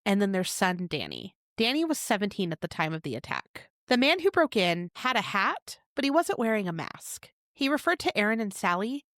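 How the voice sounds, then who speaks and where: A woman co-hosting a podcast, telling a crime case in a chatty way with quick asides. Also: medium pitch, sing-song, a bit bright, no echo, studio quality. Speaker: podcast co-host. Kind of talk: casual true crime chat.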